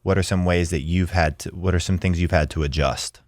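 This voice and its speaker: A man's voice: low voice, steady tone, a little fast, a little mellow, dry recording, clean studio sound. A man hosting a podcast, talking in a loose, easygoing way with frequent ums and likes. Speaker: podcast host. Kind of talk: casual podcast interview.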